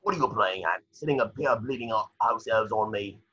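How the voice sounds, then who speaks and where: A shifty street peddler acted by a man, indignant and always defending himself. The voice is husky and forceful, grumbling colloquially in a script reading over a muffled call. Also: on the low side, a little fast. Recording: muffled video-call audio.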